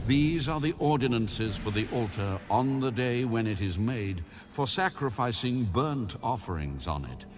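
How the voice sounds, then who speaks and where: Solemn, expressive male scripture narrator over music. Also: lowish voice. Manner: solemn, measured, deliberate. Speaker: audio Bible narrator.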